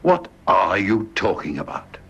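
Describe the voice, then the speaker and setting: Low, husky British doctor. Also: male voice, sing-song, measured pace, fairly bright tone, full character voice. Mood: exasperated. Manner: dismissive, matter-of-fact. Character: brusque, practical male family doctor. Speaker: actor in a radio drama. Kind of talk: radio drama acting. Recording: dull, muffled radio drama recording.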